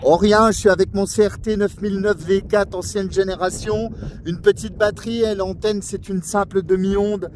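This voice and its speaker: A man with a high voice chats on the radio from a mountain at night, relaxed and friendly as he walks through his equipment. A faint hiss sits under his voice. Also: normal speed. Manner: relaxed, friendly, explains gear. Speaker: radio amateur and YouTuber. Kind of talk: casual radio chat.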